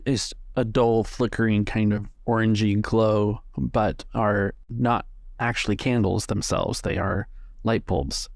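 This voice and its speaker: A man narrating a tabletop role-playing game as the game master, with a gravelly voice. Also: fairly low pitch, darkish tone, no echo, studio quality.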